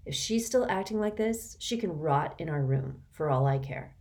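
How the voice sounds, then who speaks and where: A bold, energetic girl who talks bluntly and impatiently, voiced by a woman reading aloud. The voice sits near the reader's own lowish tone. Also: normal speed. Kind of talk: audiobook character voice.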